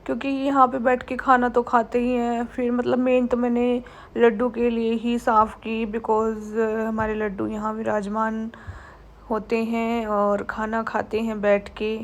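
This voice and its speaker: A woman's voice: a little high, fairly even intonation, unhurried, vocal fry. A young woman with a sore throat narrating her day in a casual home vlog, chatty and mixing English words into Hindi. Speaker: vlogger.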